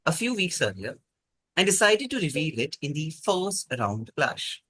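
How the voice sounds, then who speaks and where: Male quiz host, lively slightly high pitch, husky edge, measured and precise, formal question reading. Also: no room sound.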